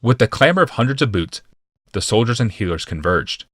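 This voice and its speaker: A man reading scripted fantasy narration as an audiobook narrator, in a deliberate, dramatic way. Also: on the low side, very animated, fairly bright tone, slightly rough, no room sound, clean studio sound.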